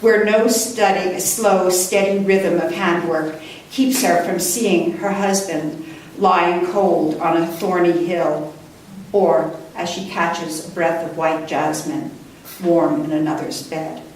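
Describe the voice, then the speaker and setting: A woman poet reading her own work live at a book launch, with a measured, deliberate delivery and careful pauses. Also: on the low side, steady tone. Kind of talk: live poetry reading with brief introductions. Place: indoor room before a live audience. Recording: distant mic, echoey room, faint noise.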